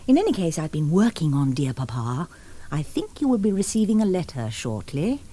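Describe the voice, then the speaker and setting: An actress playing a romantic, wilful young bride-to-be in a period radio drama, gushing and imperious. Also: female voice, low voice, very animated, normal speed, dark tone. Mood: wilful, teasing. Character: romantic, wilful, theatrical fiancée, then neglected new bride.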